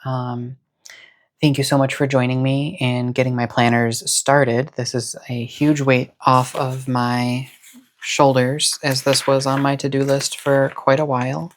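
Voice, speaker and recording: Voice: medium pitch, flat delivery, measured pace. A person chatting casually as the host of a cozy hobby vlog, with a soothing voice and a chill, rambling, encouraging manner. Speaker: host of a planner and stationery channel. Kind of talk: casual cozy chat to camera.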